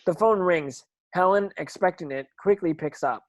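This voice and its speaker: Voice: mid-range voice, normal speed, dry recording. Dry-sounding narrator reading stage directions. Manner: stumbles and repeats words while reading. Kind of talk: scripted narration in an online table read.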